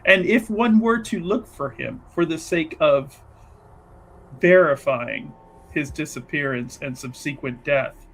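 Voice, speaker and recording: A man's voice: a little high, a little slow, a bit bright, poor audio. A man voicing a blunt, sardonic devout woman investigator with a probing, salty manner in a tabletop roleplaying game. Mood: skeptical. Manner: probing, sarcastic, salty-tongued.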